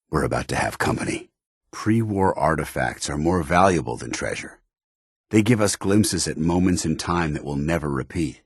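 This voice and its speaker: An actor playing a stern, principled, by-the-book soldier in a video game, with formal, clipped, commanding delivery. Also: male voice, very deep voice, breathy edge, no room sound, clean studio sound, heavily acted. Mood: urgent, commanding. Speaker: video game companion character.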